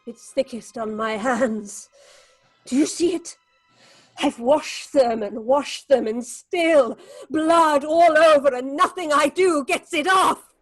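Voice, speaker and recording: High-voiced, unhinged actress confessing murder. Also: female voice, takes it slowly, faint music behind. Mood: haunted, despairing. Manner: melodramatic, ranting, unhinged. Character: guilt-crazed, jealous actress confessing murder. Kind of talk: theatrical horror audio drama.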